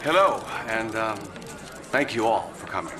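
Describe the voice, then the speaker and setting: An actor playing a smug, cynical politician who gives a podium speech, swinging between loud proclamations and hushed asides. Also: male voice, on the low side, sing-song, moderate pace, background noise. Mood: oratorical. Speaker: vice president.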